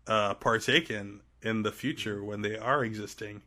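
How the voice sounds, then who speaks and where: A man's voice: lowish voice, steady tone, normal speed, bright, forceful tone. A man from Trinidad chatting casually and playfully as a podcast guest. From Trinidad and Tobago. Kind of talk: casual chat.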